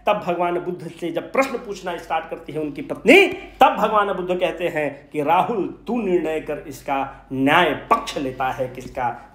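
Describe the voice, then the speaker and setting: Male exam coach, very lively intonation, question-and-answer teaching style, roomy echo. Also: high-pitched. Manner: animated, rhetorical question-and-answer, addresses students familiarly. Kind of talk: informal exam revision lecture, asking and answering own questions. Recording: roomy, echoing sound.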